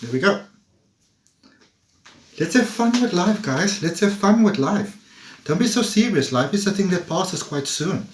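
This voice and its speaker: Playful man, highish voice. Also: animated, measured pace, long pauses, slight background noise. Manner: playful, chatty, laughs often. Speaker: tarot reader. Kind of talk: casual tarot reading monologue.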